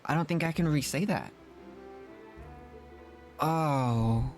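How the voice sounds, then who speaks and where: A streamer giving casual gameplay commentary in halting, puzzled asides as they react to dialogue. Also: medium pitch. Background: faint game music.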